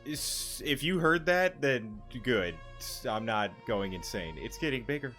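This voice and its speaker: A man's voice: medium pitch, lively intonation, measured pace, sharp tone. A young man giving rambling, off-hand gameplay commentary with casual swearing. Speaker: gaming streamer. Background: game music.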